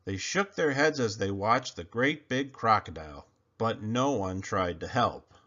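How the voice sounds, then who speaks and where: Man, children's read-aloud narrator, expressive, bright, lively pitch, dull recording. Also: a little slow. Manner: expressive, animated storytelling. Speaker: storyteller. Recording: slightly muffled recording.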